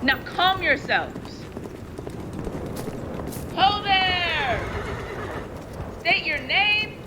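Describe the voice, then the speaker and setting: A woman's voice: extremely high pitch, lively intonation, extremely slow, heavy background noise, exaggerated voice. A woman playing a stern, commanding watchmaster in a fantasy audio drama, barking with authority. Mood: stern, urgent.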